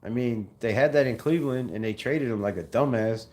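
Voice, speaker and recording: A man's voice: medium pitch, brisk, a little mellow. A young man from Southern California hosting a casual fan livestream, talking loosely in slang and repeating himself as he reads chat comments. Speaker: fan channel livestream host.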